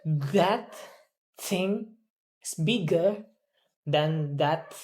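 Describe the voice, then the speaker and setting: A woman, a pronunciation student, carefully repeating drill words and haltingly reading practice sentences word by word. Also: low-pitched, darkish tone.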